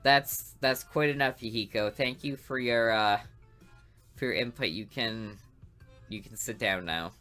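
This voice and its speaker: Strict, tired female teacher, game character, read by a woman, low and crisp. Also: unhurried, fairly bright tone, faint music behind. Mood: flustered. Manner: strict and commanding. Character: stern, weary female high school teacher.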